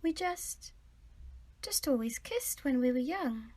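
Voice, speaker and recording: A woman's voice: high voice, animated, slow pace, mellow, full character voice. A young woman playing a sweet, artless eighteen-year-old village girl in an audio drama, ingenuous in manner. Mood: abashed. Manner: hesitant, ingenuous.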